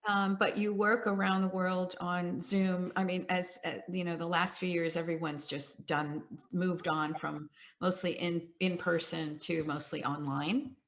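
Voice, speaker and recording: A woman's voice: on the low side, level tone, a little slow, few pauses. Upbeat female interview host. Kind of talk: hosting a spotlight interview.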